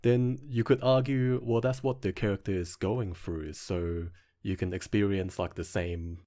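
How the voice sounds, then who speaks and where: A man with a fairly low voice speaks as a guest on a podcast. His talk is casual and reflective, in the style of a game developer discussing the craft. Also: steady tone, a little slow, mellow.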